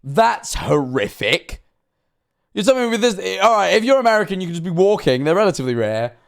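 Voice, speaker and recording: A man's voice: high voice, very animated, a little fast, vocal fry, soft background music. A British man giving casual, off-the-cuff reaction commentary as a livestream host, joking and swearing in a sarcastic way. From Britain.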